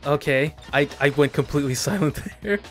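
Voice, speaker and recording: Casual gamer commentator over loud music. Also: medium pitch, brisk. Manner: self-deprecating. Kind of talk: casual playthrough commentary, reading game text aloud. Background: loud game music.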